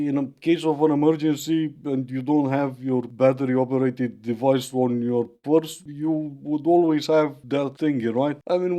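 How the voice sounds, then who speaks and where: A slightly hoarse man giving mocking, dry, sarcastic commentary on video clips. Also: fairly high pitch, dark tone, dull audio. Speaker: reaction commentator.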